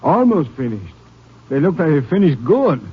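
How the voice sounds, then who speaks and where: A wry sidekick lawman. His husky, higher-pitched voice bounces around eagerly, on a dull old radio western recording. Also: very animated, normal speed. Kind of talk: 1950s radio western acting.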